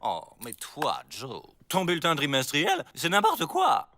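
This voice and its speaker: A man's voice: on the high side, wildly swooping pitch, measured pace, pushed and bright, dry recording, exaggerated voice. A man voicing an officious, theatrical prison boss in a cartoon, with a pompous announcing manner. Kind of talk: animated cartoon acting. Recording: music behind the voice.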